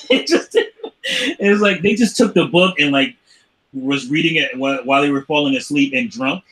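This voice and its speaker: Higher-voiced man bantering, profane and giggly. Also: moderate pace, low-quality recording. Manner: profane, animated riffing with frequent chuckling. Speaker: podcast host. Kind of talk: casual film banter.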